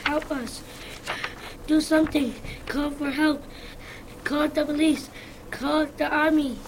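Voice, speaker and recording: A jittery elephant who scares easily, voiced by a woman reading a storybook. The voice is pitched high and sounds urgent and breathless. A faint hiss sits under the recording. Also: fairly even intonation, slow. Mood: frightened. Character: nervous second elephant who panics.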